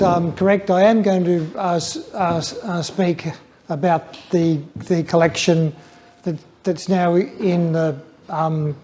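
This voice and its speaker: An older Australian man giving an informal public talk, reflective and hesitant, often stammering over the starts of phrases in a flat, dull voice. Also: high voice. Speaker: guest speaker, former museum photography curator.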